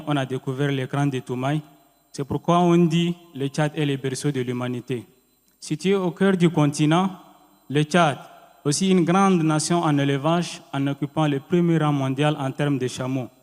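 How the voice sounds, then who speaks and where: A young man delivers a proud, lively welcome in a slightly high voice. The sound carries the long reverb of a large ceremony hall. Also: moderate pace. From Chad. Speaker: student speaker. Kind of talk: formal ceremonial welcome speech.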